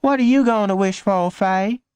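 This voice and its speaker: A man's voice: normal speed, a little mellow, clean tone, dry recording, close to an everyday voice. A man voicing a whiny, indignant little girl in a children's audiobook. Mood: curious. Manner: whining, shrill complaining.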